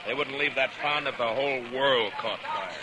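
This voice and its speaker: An actor playing a principled, weary American frontier marshal who gives orders, in the style of 1950s western radio drama. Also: male voice, medium pitch, moderate pace, a crowd in the background, lightly acted. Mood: tired, grim. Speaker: lawman. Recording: noisy old radio drama recording.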